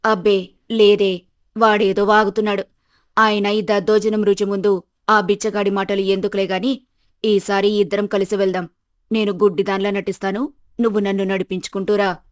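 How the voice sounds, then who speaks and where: A woman's voice: mid-range voice, level tone, moderate pace, no room sound, studio quality, lightly acted. A woman playing a bossy, greedy mother-in-law in a village moral story, with a conspiratorial, coaxing manner. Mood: dismissive. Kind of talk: Telugu moral-story character acting.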